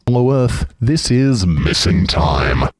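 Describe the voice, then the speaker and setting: A man voicing a demonic announcer for a scripted radio show intro, with layered pitched-down effects and some reverb. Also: big pitch swings, slow, a little mellow. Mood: ominous, theatrical. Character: demonic male announcer from the hollow earth.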